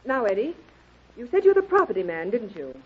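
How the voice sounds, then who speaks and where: A woman playing a brisk, commanding female detective who questions suspects in a businesslike way in a radio drama. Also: on the high side, sing-song, husky. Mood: cool questioning. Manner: businesslike, takes charge. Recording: dull, lo-fi radio drama recording.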